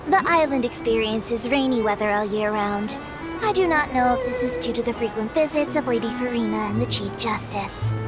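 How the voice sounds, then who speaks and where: A woman voicing a small, earnest female creature tour guide in a video game, making polite, formal, measured announcements. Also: on the high side, lightly acted. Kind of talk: video game character voice acting. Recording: loud music over the voice.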